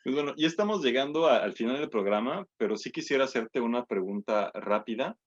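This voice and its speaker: A man's voice: mid-range voice, lively intonation, a little fast, muffled recording. A man hosting a show and interviewing in a casual, conversational way. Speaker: radio show host.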